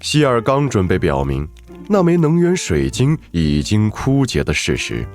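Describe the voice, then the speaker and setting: Casting: male story narrator, low register, animated intonation, scripted fantasy narration over light music. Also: unhurried.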